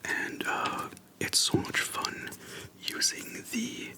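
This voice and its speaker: Asmr host whispering softly right up on the mic, relaxed casual rambling, halting. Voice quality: soft breathy whisper. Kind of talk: casual rambling game retrospective. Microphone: close microphone.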